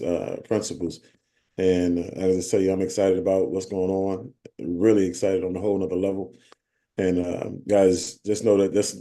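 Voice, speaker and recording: A man's voice: lowish voice, warm tone. A man hosting a casual motivational team call, rambling with many fillers and restarts. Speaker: host of a sales team call.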